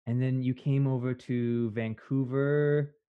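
A man's voice: mid-range voice, fairly even intonation, measured pace, smooth. A man in his thirties with a Canadian accent hosts a casual, conversational interview. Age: 30s. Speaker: host, interviewer. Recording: dull, lo-fi sound.

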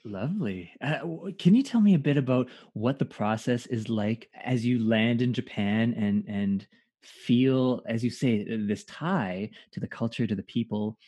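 A male interviewer with a mid-pitched voice asks curious, open questions. He sounds encouraging and laughs lightly along with his guest. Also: a little slow, mellow. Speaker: interviewer host. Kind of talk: conversational interview.

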